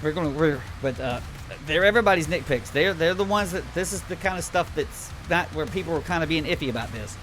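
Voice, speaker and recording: A man's voice: a little high, lively intonation, fast, fairly bright tone. Blunt, rambling man reviewing a game, music behind. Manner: rambling, blunt, griping, sweary. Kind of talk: unscripted casual game review commentary.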